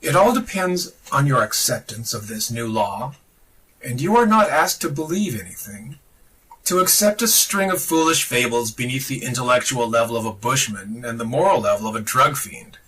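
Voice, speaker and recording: A man reading a religious text aloud in a solemn, dramatic style, declamatory and deliberate, with emphatic pauses. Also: mid-range voice, lively intonation, pushed and bright, husky, far from the mic, poor audio. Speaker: podcast reader of esoteric texts.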